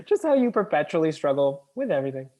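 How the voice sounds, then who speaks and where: A man playing a laconic, teasing young man driving his friend in a table read, terse and deadpan. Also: on the high side, quick pace.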